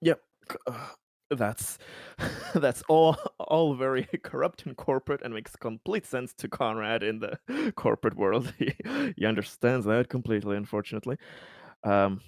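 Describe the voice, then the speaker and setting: A man playing a tabletop roleplaying game, talking through his character's actions casually and haltingly. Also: medium pitch, darkish tone, no echo, clean studio sound. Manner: hesitant, halting, stumbling over words.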